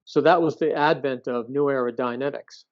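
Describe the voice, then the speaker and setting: A man being interviewed, telling stories from his past conversationally, in a halting way with repeated words and rephrasing. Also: medium pitch, fairly even intonation. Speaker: interview guest. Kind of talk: conversational recounting of past experiences. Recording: dull, lo-fi sound.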